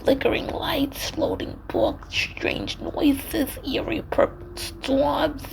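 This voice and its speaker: A woman voicing a fussy, stern game librarian with a put-on New Jersey accent and a breathy, drawn-out, dramatic delivery, over music. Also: high voice, fairly even intonation, whispered, pitched above the performer's own voice, full character voice, low-quality recording. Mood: eerie, suspicious. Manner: dramatic, scolding. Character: fussy, stern female librarian with spooky complaints.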